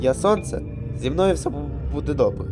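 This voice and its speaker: A man voicing an anxious, caring Sun in an animated space cartoon, emotional and pleading. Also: a little high, normal speed, lightly acted. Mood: reassuring. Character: anxious, caring Sun, haunted by lost planets. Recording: music behind the voice.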